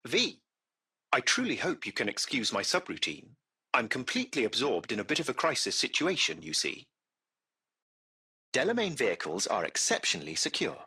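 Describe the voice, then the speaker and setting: Game casting: a polite AI chauffeur, formal and precise, very animated pitch, bright forceful edge. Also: male voice, moderate pace, husky, heavily acted. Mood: apologetic. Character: courteous AI taxi-service machine, formal and butler-like. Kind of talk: scripted video game voice acting.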